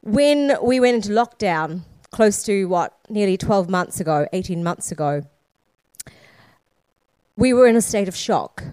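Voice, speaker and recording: A woman's voice: mid-range voice, expressive, unhurried, darkish tone, no room sound, rough recording. A woman from Sri Lanka, Scotland and New Zealand giving a conference keynote, using rhetorical questions and emphatic repetition. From born in Sri Lanka, raised in Scotland and New Zealand. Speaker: keynote speaker, founder.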